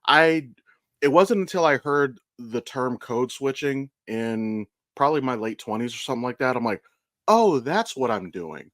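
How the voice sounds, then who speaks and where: Man, thirties, American accent, podcast host, casual and rambling, frank, profane, mid-pitched voice, dry close sound. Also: animated, normal speed, a bit bright, no echo. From Ohio, United States. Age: 30s. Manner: candid, profane, rambling storytelling. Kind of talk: casual conversational podcast chat.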